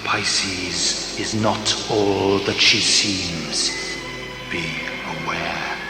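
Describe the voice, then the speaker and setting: An actor playing a menacing, taunting spirit of darkness, speaking ominously in a sci-fi audio drama. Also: male voice, sits low, full character voice. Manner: ominous, deliberate. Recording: echoing voice over loud music.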